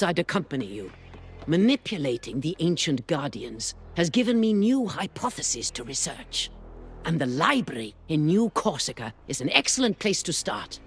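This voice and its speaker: A wry, musing scholar. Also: male voice, husky, heavily acted. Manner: dry, musing, lively pitch. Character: scholarly male researcher, wry and curious. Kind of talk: video game cutscene acting. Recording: game audio with faint music.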